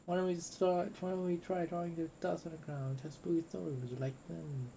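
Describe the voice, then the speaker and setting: A man's voice: a little high, level tone, a little fast, vocal fry, dark tone, slight background noise, pitched above the performer's own voice. A man voicing a cheerful, bubbly young woman who coaxes a gloomy friend in an amateur story reading. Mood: cheerful coaxing. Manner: upbeat, coaxing.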